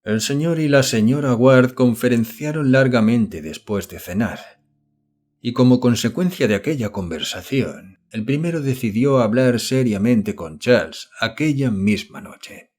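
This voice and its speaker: A man's voice: medium pitch, lively intonation, a little slow, a little mellow. A man reading a horror novel aloud as an audiobook narrator, in scripted literary narration.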